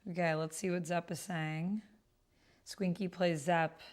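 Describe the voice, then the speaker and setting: Woman, slightly low voice, streamer, informal, gushing, talking to herself between messages, keyboard typing behind. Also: flat delivery, unhurried. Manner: murmurs to self while typing and reading messages aloud. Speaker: streamer and host. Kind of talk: casual livestream chat while playing a text roleplay game. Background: computer keyboard typing.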